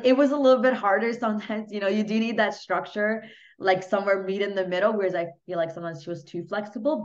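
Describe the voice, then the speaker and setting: A young woman from Hungary, a podcast guest, chatting casually in a small home room with lots of "you know" and "like". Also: medium pitch. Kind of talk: casual interview chat. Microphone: laptop webcam mic on a video call. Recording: dull lo-fi video call audio.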